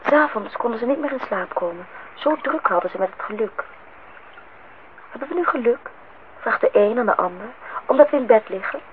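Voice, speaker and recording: Woman acting a tentative wife and mother in a radio drama, highish expressive voice, muffled old recording. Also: a little fast, pauses often, close to an everyday voice. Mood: tender storytelling. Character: hesitant woman playing along as wife and mother. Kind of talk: Dutch radio play acting. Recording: dull old radio recording, faint noise.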